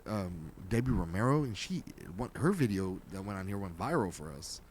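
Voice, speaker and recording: Fairly low-voiced guy host, blunt and jokey, swears a bit, faint hiss behind. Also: lively intonation, moderate pace, a little mellow. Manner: blunt, joking, swears casually. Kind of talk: casual interview chat.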